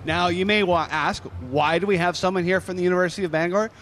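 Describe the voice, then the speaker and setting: A man's voice: high-pitched, fairly even intonation, fast talker, fairly bright tone, a little hiss or hum. A man hosting a live radio show from a convention fair, talking in a rambling, enthusiastic way with frequent restarts. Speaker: radio host. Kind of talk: casual live radio interview.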